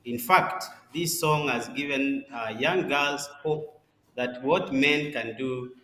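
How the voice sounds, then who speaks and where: Slow, bright-toned Kenyan man moderating. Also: medium pitch, steady tone. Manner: unhurried, with frequent "uh" pauses. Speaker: summit moderator. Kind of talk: introducing program items. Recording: echoey room.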